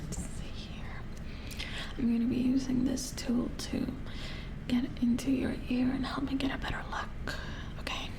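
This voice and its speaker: A woman playing a calm, matter-of-fact robot repair engineer in a soft-spoken ASMR roleplay, murmuring as if thinking aloud in a breathy whisper. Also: high-pitched, a little slow. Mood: focused, soothing. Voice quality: breathy whisper with creaky edges.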